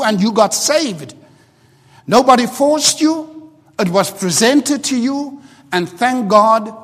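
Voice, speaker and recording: Slow afrikaans-speaking guy preaching, kinda high voice, stop-start phrasing. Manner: starts quiet and halting, builds to loud emphatic preaching. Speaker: pastor. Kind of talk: sermon. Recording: long hall reverb.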